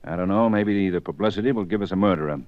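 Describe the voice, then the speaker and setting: Terse police lieutenant played by a man, very low voice, dry old radio sound. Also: fast talker, dry recording, highs cut off, natural voice. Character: gruff male police lieutenant, dry and calm. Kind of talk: 1950s radio drama acting.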